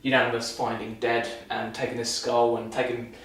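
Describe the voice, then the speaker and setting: A man's voice: medium pitch, little pitch movement, normal speed, a bit bright. Young man rambling in an echoey room. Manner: loose and chatty with frequent restarts and dry sarcasm. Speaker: vlogger. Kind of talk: casual rambling film review. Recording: echoey room, mic far off.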